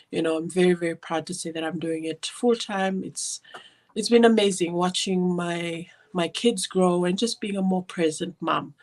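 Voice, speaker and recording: A woman from Fiji hosting a live online business stream, chatting casually with many fillers and restarts. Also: fairly low pitch.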